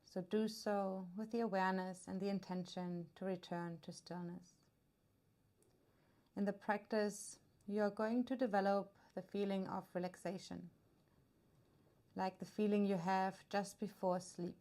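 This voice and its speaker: Really slow soothing lady doing a guided relaxation, soft dark mellow voice, lots of pauses. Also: medium pitch, fairly even intonation. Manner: soothing, unhurried instruction with long pauses. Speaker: yoga nidra teacher. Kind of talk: guided meditation narration.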